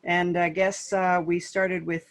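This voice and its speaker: A woman's voice: fairly low pitch, flat delivery, a little slow. A woman hosting a podcast, putting loose, rambling questions to a panel of guests. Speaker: podcast host. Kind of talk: interviewing a panel.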